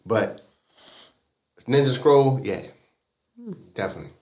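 A man joining a podcast as a guest voice, talking in animated, interrupting banter. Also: medium pitch, a little slow. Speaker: podcast studio owner. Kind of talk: casual chat. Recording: echoey room with faint noise.

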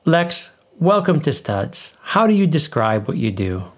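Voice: medium pitch, sing-song, unhurried. A podcast host with a folksy, colloquial way of talking, presenting and interviewing in a conversational style.

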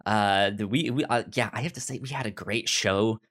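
A podcast host with a halting, stammering delivery, chatting casually about a film. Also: mid-range voice, fairly bright tone. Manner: halting, stammering with repeated syllables. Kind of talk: casual movie discussion podcast.

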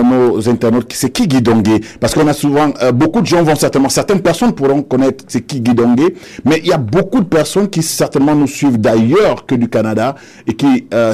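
A man's voice: mid-range voice, brisk, warm tone. A man hosting a live panel show from a studio, with a wordy, ceremonious way of presenting. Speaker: host.

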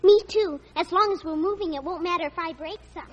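A young female performer playing an excitable, mischievous little girl in a 1940s radio drama. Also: very high voice, fairly quick. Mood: stubborn.

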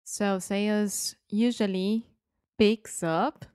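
A woman's voice: mid-range voice, fairly even intonation, takes it slowly, darkish tone, clear, resonant tone, no echo. Brazilian woman chatting in English, dry sound. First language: Portuguese. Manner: asks questions and tries out examples. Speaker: co-host learning English. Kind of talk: casual conversational language lesson.

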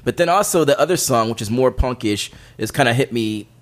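A man's voice: mid-range voice, animated, fairly quick. A man co-hosting a podcast, chatting casually about music in a rambling, opinionated way with crude humour. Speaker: podcast co-host presenting music picks.

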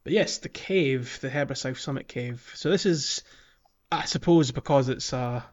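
Guy on a gaming podcast, chatty and a bit halting, kinda dull lo-fi audio. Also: mid-range voice, darkish tone. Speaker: podcast co-host. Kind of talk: casual video game discussion.

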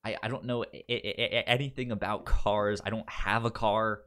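A man's voice: on the low side, steady tone, normal speed, pushed and bright, smooth. A man co-hosting a podcast in casual chat, often repeating syllables and words as he stammers through a sentence. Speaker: podcast co-host.

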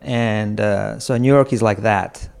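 Slow, reflective man from Croatia. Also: on the low side, gravelly, smooth. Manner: slow, halting, with frequent pauses and soft laughs. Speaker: podcast guest. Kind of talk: reflective conversation. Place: apartment in a city.

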